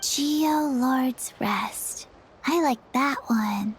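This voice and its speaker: A woman voicing a gentle, sleepy little undead girl in a video game, speaking in a halting deadpan. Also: high voice, slight background noise, poor audio. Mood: dreamy, placid. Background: music.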